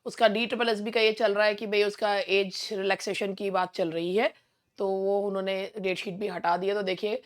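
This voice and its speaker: An Indian woman with a hoarse, sore throat teaching an online English exam-prep lesson in a chatty way, often clearing her throat. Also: medium pitch, little pitch movement, normal speed.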